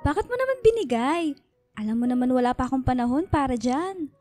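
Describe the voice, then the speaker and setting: A sassy young single woman in a radio drama, speaking with a Filipino accent. Her voice is high, with a teasing, reluctant manner. Faint music sits behind her. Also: expressive, fairly quick, warm tone. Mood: protesting.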